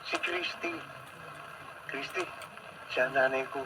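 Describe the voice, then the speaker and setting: A man's voice: mid-range voice, wildly swooping pitch, takes it slowly, gravelly, full character voice. An actor with a Filipino accent playing a lovestruck, dramatic young male student in a radio drama, pleading and persistent. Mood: hopeful, wooing. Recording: very noisy radio broadcast.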